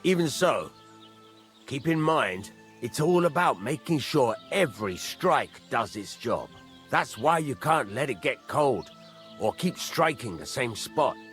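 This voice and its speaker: Gruff village blacksmith teacher, swings around a lot, bright punchy voice, game dialogue with music under it. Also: male voice, medium pitch, sing-song, measured pace. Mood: approving, instructive. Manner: instructive, blunt, swears mildly. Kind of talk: video game dialogue acting.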